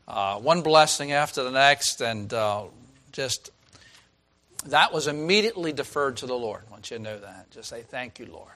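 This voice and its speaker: A pastor with a raspy voice preaching a sermon in a folksy, chatty way, asking the congregation questions. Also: male voice, mid-range voice, lively intonation, moderate pace, pushed and bright. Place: church.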